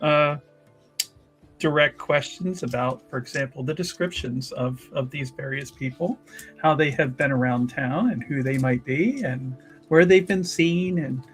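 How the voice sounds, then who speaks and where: A man playing a tabletop roleplaying game, casually improvising in a wordy, hesitant way with careful pauses. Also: a little high, faint music behind, low-quality recording. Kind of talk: casual improvised in-character recap and questions.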